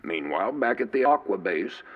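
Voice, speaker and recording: A man giving scripted narration for a fan audio drama, in a steady storytelling manner. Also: on the low side, moderate pace, highs cut off.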